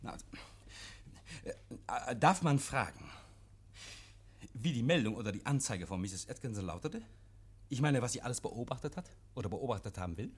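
An actor in a 1950s German radio play, playing a nervous, evasive private scholar who talks in a fussy, pedantic, over-polite and agitated way. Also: male voice, mid-range voice, animated, normal speed, pauses often, slightly rough. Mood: probing. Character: nervous, evasive male private scholar hosting policemen, defensive and testy. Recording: vintage 1951 radio drama recording.

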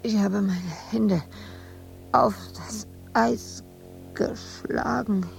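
An actress playing an anguished, exhausted, obsessive woman sculptor in a radio drama monologue, speaking in halting fragments that often sink into breathy whispers. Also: medium pitch, steady tone, faint music behind, heavily acted. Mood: desperate.

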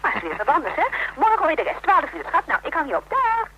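A woman's voice: high voice, sing-song, fast, fairly bright tone, highs cut off, full character voice. An actress with a Netherlands Dutch accent playing a pushy, breezy woman friend coaxing over the telephone in a radio drama. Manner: chatty, coaxing. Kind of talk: radio drama phone dialogue.

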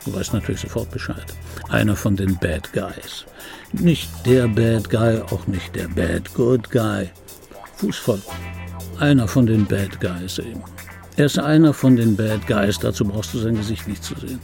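An actor playing an ageing, wry and obsessive dubbing artist in an intimate radio drama monologue, speaking deliberately with pauses and repeated phrases in a gravelly, husky voice. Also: male voice, lowish voice, darkish tone, soft background music. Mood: wry, reminiscing. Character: ageing male dubbing actor recalling his screen star, wry, obsessive. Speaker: narrator of a radio play.

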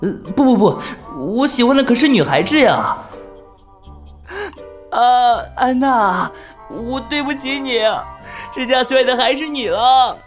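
A man's voice: very high-pitched, animated, a little slow. A man playing a flustered, easily smitten young homebody in an audio drama, speaking hesitantly and stammering. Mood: flustered, agitated. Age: young adult. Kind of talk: Chinese audio drama acting with inner monologue. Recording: music under the voice. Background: music.

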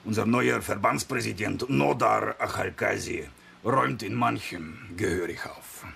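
An actor playing a football official, talking formally and confidingly in a measured, slightly hesitant way in a radio drama. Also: male voice, lowish voice, gravelly, a bit bright, slight background noise, exaggerated voice. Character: male football association official, formal and discreetly gossipy.

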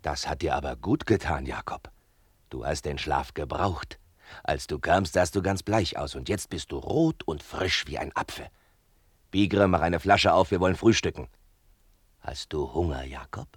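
Very deep, husky, hearty godfather. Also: moderate pace, no echo, clean studio sound. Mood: jovial, teasing. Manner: jovial, bluff. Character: hearty older village godfather, fatherly and teasing. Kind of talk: radio play dialogue.

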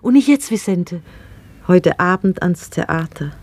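An actress playing a discreet, lovelorn lady in a radio play, confiding urgently and a little haltingly. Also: fairly low pitch, expressive, darkish tone. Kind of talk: 1960s German radio play acting.

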